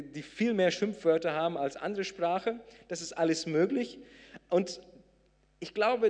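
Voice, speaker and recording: Man, native Portuguese speaker, preacher, conversational sermon, fillers and restarts, a bit high-pitched, long church-hall reverb. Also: a little mellow. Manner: conversational, many fillers and restarts, rising to emphatic. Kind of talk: sermon with a personal story. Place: church hall before a congregation.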